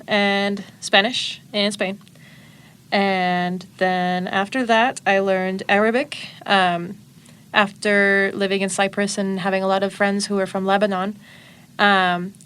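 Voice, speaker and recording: A woman's voice: medium pitch, a bit bright, smooth. A woman in her twenties, a guest on an interview podcast, telling about her family and life in an earnest, matter-of-fact way. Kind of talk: casual interview conversation.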